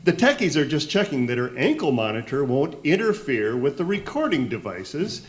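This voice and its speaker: A man playing a nervous, eager, devoted nephew of a celebrity chef in a comedic radio play, chirpy and halting. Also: medium pitch, very animated, lightly acted. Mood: nervous, awkward. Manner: chirpy, halting, apologetic cover-ups.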